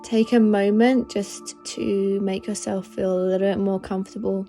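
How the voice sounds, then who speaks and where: A woman leading a guided meditation in a gentle, soothing, unhurried manner of instruction. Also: medium pitch, little pitch movement, soft background music. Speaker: meditation guide, podcast host.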